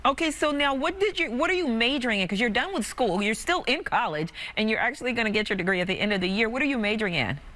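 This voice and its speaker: A woman's voice: fairly high pitch, expressive, fast, hardly any pauses, a bit bright. An American woman co-hosting a TV talk show, asking questions in a conversational style. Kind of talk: conversational TV interviewing.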